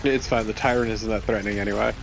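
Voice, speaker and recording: A man's voice: fairly low pitch, steady tone, fast talker, gravelly. A man making playful, joking small talk as co-host of a casual video game commentary. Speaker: let's play co-host. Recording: faint background noise.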